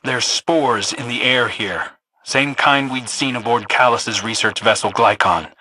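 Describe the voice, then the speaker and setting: A man's voice: mid-range voice, unhurried, sharp tone, slight background noise, poor audio. An actor playing a wry, alert male scouting companion in a video game, speaking expressively and matter-of-factly. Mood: alert, analytical. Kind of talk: scripted video game character dialogue.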